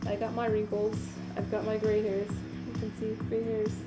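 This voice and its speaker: A woman's voice: mid-range voice, monotone, moderate pace, over loud music. A woman in her late thirties chatting casually and informally as a livestream host, in a rambling, exclamatory way. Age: late 30s. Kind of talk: casual livestream chat responding to viewers.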